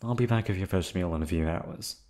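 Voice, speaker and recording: A man voicing a menacing yandere captor in an ASMR roleplay, with a put-on accent and a calm, coldly threatening delivery. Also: deep voice, a little fast, lightly acted. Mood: cold menace. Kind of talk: ASMR roleplay audio drama.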